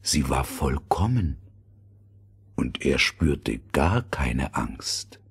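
A man narrating a scripted fairy tale in a dramatic, deliberate storytelling manner. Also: rumbling low, big pitch swings, creaky, slightly rough.

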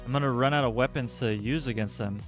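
A man in his twenties chatting casually with his livestream chat while playing, laid-back and quick to laugh. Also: mid-range voice. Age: 20s. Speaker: livestream gamer. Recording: game music loud behind. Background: game music.

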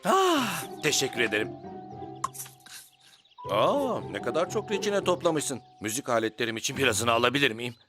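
A man's voice: mid-range voice, sing-song, moderate pace, natural voice. A man voicing a cheerful, self-important insect musician in a children's cartoon, chatty and theatrical. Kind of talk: dubbed children's cartoon acting. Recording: music behind the voice.

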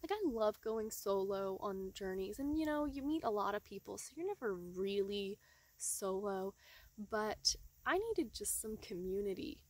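A woman's voice: mid-range voice, measured pace, no room sound. A young woman telling a personal story casually and expressively, acting out the dialogue as she goes. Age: young adult. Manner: chatty, expressive, acts out quoted dialogue. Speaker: vlogger telling a hiking testimony. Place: outdoors in a pine forest.

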